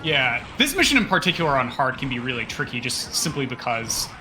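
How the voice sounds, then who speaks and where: A man's voice: medium pitch, sing-song, a little fast, noisy background. A man giving casual, explanatory live commentary on a video game speedrun.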